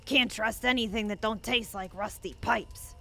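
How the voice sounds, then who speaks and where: A woman playing a folksy, suspicious, motherly bartender in an audio drama, with a creaky voice and rustic turns of phrase. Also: medium pitch, moderate pace, pushed and bright. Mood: wary.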